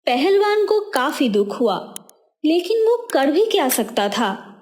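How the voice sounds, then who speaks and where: A woman narrates a scripted moral story for a cartoon. Her delivery is slow and measured, and her voice sits a little on the high side. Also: big pitch swings, a little mellow.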